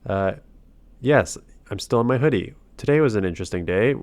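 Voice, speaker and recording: A man's voice: on the low side, expressive, brisk, a little mellow, dry recording. Nasal, congested man talking through a match. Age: adult father. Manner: thinks aloud, breaks off and restarts. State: sick with a cold. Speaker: gaming channel host. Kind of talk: casual battle commentary.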